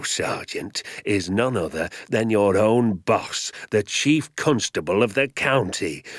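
A man voicing a pompous, furious landowner who shouts and rants. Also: on the low side, creaky, husky, no echo, studio quality, heavily acted. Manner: shouting, ranting, insulting.

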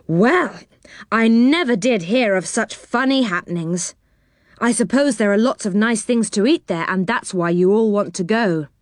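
A woman voicing a kindly, gently amused mother in a storybook reading. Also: mid-range voice, normal speed, dry recording, clean studio sound, lightly acted. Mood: fond.

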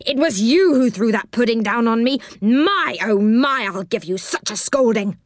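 A woman playing a bad-tempered man in a children's storybook, shouting and scolding indignantly. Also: on the high side, lively intonation, normal speed, dry recording, clean studio sound, pitched above the performer's own voice, lightly acted. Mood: angry, scolding.

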